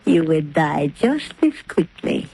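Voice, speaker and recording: A menacing snake-charmer villainess. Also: female voice, low-pitched, very animated, vocal fry, dark tone, slight background noise, exaggerated voice. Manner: slow, menacing, theatrical. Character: cunning woman snake charmer, villainous and proud. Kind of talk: 1930s radio drama acting.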